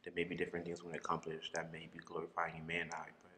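Deep bright-toned guy from liberia chatting, long reverb on the room. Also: monotone, rapid-fire. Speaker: guest. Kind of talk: casual interview chat.

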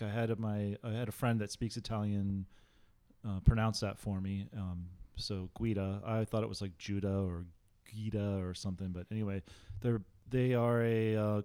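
A man co-hosting a casual podcast, talking in a halting, self-deprecating way. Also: lowish voice, darkish tone, clean tone. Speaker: podcast co-host.

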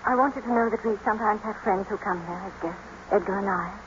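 A woman's voice: medium pitch, quick pace, close to an everyday voice. An actress playing an earnest, haunted young lady of a manor in theatrical, impassioned old radio drama style. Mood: firm. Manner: theatrical, intense. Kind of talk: 1940s-50s radio drama acting. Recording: noisy, dull old radio broadcast.

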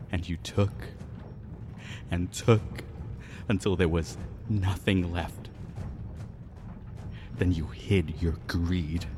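Slow, low-voiced, taunting avenger. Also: male voice, noisy background. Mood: bitter, accusing. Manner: taunting, deliberate, menacing. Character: vengeful Mayan man, mocking guide luring intruder to doom. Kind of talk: audio drama acting.

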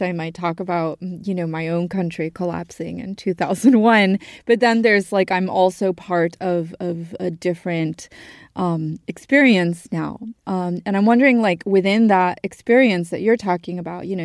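A woman's voice: fairly low pitch, darkish tone, dry recording, studio quality. A woman hosting a news radio program, narrating and interviewing in a conversational manner. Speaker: guest radio host.

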